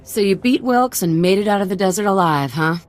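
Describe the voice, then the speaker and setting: A woman's voice: medium pitch, expressive, brisk, faint music behind, natural voice. A woman playing a tough, frank frontier underworld figure in a video game, speaking in a casual, plain-spoken way. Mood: wry surprise.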